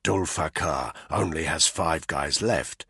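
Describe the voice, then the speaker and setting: A man doing a low, clipped male soldier. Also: slow, gravelly, bright, forceful tone, breathy edge, dry recording, studio quality, heavily acted. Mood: tactical. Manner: low, clipped, controlled orders. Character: hard, commanding male soldier.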